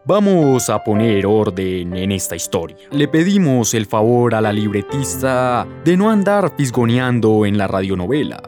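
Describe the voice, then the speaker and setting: A man's voice: lowish voice, sing-song, moderate pace, few pauses, over music. A man playing a stern, exasperated narrator who formally scolds his own radio soap. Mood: stern, reproving.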